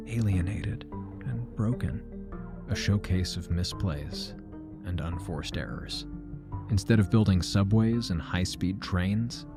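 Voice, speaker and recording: An actor playing a weary, regretful aging man, narrating his own story in a measured, reflective way for a fiction podcast. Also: deep voice. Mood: bitter, reflective. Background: music.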